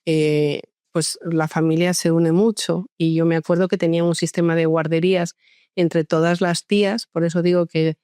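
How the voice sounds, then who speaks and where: A woman psychologist born in France, telling her story in a relaxed interview with many hesitations and restarts. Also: fairly low pitch, normal speed, clean tone, no room sound, clean studio sound. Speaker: guest psychologist. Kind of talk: conversational interview.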